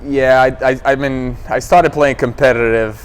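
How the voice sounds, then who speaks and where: A man in his thirties from Romania speaks as a guest in a casual interview. His pitch is average and his manner easygoing and agreeable. Faint outdoor urban noise runs behind him. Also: fairly even intonation, moderate pace, low-quality recording. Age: 30s. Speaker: interview guest, professional pickleball player. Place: outdoors at a tournament venue.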